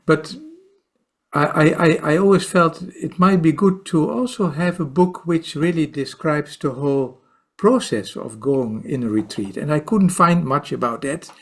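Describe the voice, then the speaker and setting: A man in his sixties with a Dutch accent, a meditation teacher answering as a podcast guest, speaking haltingly with many repeats and fillers. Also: fairly high pitch. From Netherlands. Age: 60s. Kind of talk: podcast interview.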